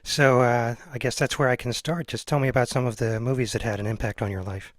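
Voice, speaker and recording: A man's voice: mid-range voice, fairly even intonation, quick pace, no echo, studio quality. An American man around forty hosting a casual interview, speaking informally and a bit hesitantly. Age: 30s or 40s. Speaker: podcast host, film teacher.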